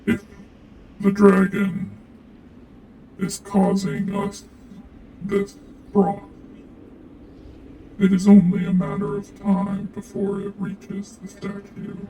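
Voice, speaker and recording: A man voicing a calm underwater guardian creature with a measured, formal, halting delivery. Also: sits high, voice pushed up, poor audio. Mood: grave. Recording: music behind the voice.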